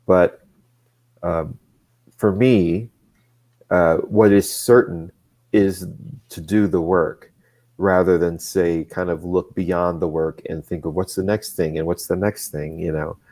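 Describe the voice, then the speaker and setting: Man, very low register, thoughtful comics creator who talks haltingly with restarts. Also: steady tone, darkish tone. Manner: halting, restarts often, measured. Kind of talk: casual discussion.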